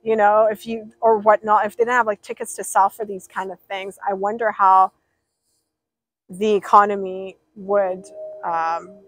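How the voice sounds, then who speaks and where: A woman's voice: mid-range voice, flat delivery, a little slow, dry recording, rough recording. A young woman livestreaming outdoors by a pool, rambling casually and reading out viewers' names. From Persian.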